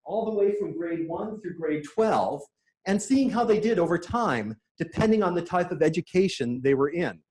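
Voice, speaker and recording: Middle-aged guy with a high voice, excited presenter walking a room thru his slides. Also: poor audio. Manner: enthusiastic, lightly joking. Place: conference room.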